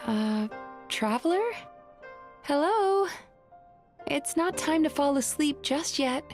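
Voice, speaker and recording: Gentle young lady therapist in a video game, highish voice, soothing n polite but teasing, bit of music behind. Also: lively intonation, takes it slowly. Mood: gently prompting. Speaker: game character.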